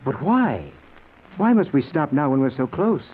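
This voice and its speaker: A man's voice: fairly high pitch, big pitch swings, moderate pace, husky, phone-quality sound. An actor playing an obsessed, nervously intense middle-aged man, speaking earnestly and dramatically in a 1940s style radio drama. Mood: dismayed. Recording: thin old radio recording.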